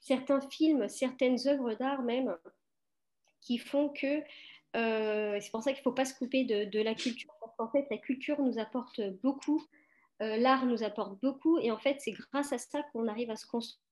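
A woman's voice: on the high side, normal speed, darkish tone. A middle-aged woman talking casually and reflectively, with many fillers and restarts. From France. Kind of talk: casual conversation.

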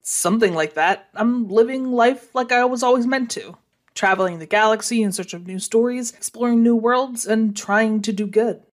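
A woman playing a calm, worldly galactic traveler in a sci-fi radio drama, gentle and reserved. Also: fairly high pitch, normal speed, close to an everyday voice. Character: calm, worldly female galaxy-traveling adventurer.

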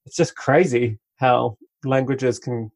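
A man's voice: mid-range voice, takes it slowly, darkish tone. A man chatting casually and enthusiastically, rambling a bit and laughing often. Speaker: conversation partner. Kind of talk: casual chat.

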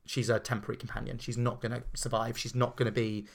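A man from England co-hosting a podcast, chatting casually in a rambling, animated way. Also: medium pitch, quick pace, vocal fry. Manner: rambling, animated, does little quoted voices. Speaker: podcast co-host.

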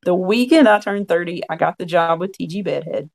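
A man in his forties speaks with a gravelly, fry-laden voice. He tells his story as a podcast guest in a frank, earnest way, often voicing his own past thoughts. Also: sits high, fairly quick. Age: 40s. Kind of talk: conversational storytelling.

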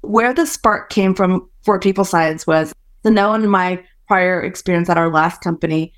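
A woman's voice: fairly low pitch, moderate pace. A woman in her forties, first language Hokkien, a physician scientist and co-founder giving thoughtful, searching interview answers with small restarts. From born in Malaysia, raised in the US.

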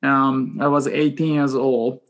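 A man in his forties from Japan with a Japanese accent, speaking English as a second language as a podcast guest, telling a personal story in a halting, self-deprecating, joking way. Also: medium pitch, little pitch movement. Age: 40s. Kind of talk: casual personal storytelling in an interview.

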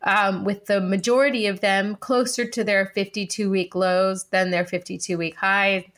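A woman's voice: mid-range voice, flat delivery, normal speed, a bit bright. A woman co-hosting a podcast, discussing markets conversationally in an articulate, dryly wry way. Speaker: podcast co-host.

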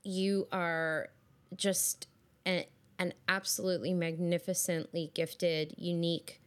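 A woman's voice: fairly low pitch, level tone, slow. A woman hosting a podcast with a scratchy voice today, talking in an effusive, gushing way with many fillers. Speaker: podcast host. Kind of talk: casual podcast chat.

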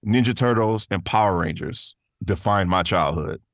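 A man's voice: fairly low pitch, normal speed, dry recording, clean studio sound. A man chatting casually as an interview guest, rambling and enthusiastic, often saying "man" and "you know". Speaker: podcast guest, voice actor.

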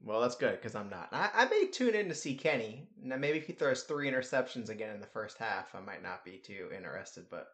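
A man's voice: medium pitch, big pitch swings, a little fast, few pauses, a bit bright. Easygoing young man teasing his co-host. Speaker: podcast co-host. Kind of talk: casual sports chat.

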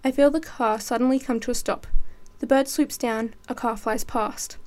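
A woman's voice: a little high, steady tone, moderate pace, darkish tone. A teenage girl giving a dramatic reading of a first-person story as a student writer.

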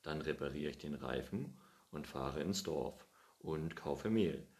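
A man's voice: extremely low pitch, takes it slowly, full character voice. A man voicing an absent-minded, tidy old farmer who grumbles and scolds his cat in a storybook reading. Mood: grumbling. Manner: loud, grumbling, muttering hm.

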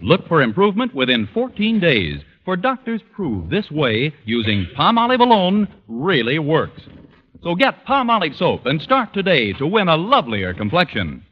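An American man sells emphatically in 1940s announcer style. His voice is husky and swoops dramatically for emphasis. The vintage recording is dull, with a long reverberant tail. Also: on the high side, huge pitch swings, normal speed. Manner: emphatic, polished sales delivery. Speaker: radio announcer. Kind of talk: 1940s radio commercial announcing. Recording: dull old radio broadcast, long reverb.

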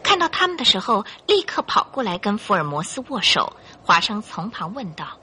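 A woman narrator reading a scripted radio drama in an expressive, dramatic storytelling manner. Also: medium pitch, normal speed, slightly rough.

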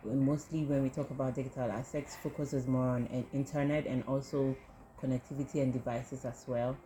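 A woman's voice: extremely low pitch, steady tone, brisk, mellow, slight background noise, poor audio. A woman, a student union officer, giving earnest, fluent interview answers.

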